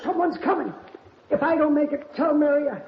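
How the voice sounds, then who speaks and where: Reluctant henchman, high rustic cowboy voice, Western radio acting, muffled echoey vintage recording. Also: a little fast, creaky, a little hiss or hum. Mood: urgent, remorseful. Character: reluctant outlaw henchman with a conscience. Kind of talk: old-time Western radio drama acting.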